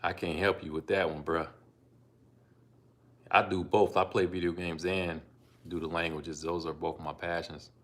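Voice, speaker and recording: A man from Ohio streaming live from his phone, talking in a laid-back, unhurried way with frequent ums and asides as he reads out donations and chats about learning languages. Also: deep voice, level tone, fairly bright tone. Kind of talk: casual live-stream chat reading donations.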